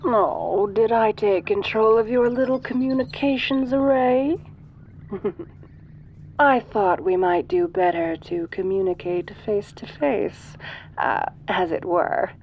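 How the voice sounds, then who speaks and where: Woman doing a soft slow sinister alien queen type, teasing n condescending, bit muffled audio roleplay. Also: mid-range voice, slight background noise. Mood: haughty, coaxing. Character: sinister domineering female alien lifeform, ancient, haughty, seductive. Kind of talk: audio roleplay addressed to the listener.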